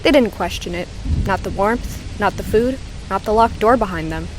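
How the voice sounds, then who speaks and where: A woman narrating a scripted horror story in a measured, suspenseful manner with dramatic pauses. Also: mid-range voice, animated, slight background noise, low-quality recording.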